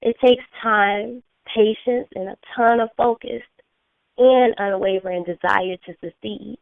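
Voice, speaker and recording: A woman's voice: mid-range voice, fairly even intonation, measured pace, gravelly, no room sound. Scratchy-voiced woman coaching over phone. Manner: earnest, coaching, repeats key phrases. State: scratchy voice from a sinus infection. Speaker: host of a motivational call. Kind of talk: motivational talk on a phone call. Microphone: phone conference line. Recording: dry tinny phone line.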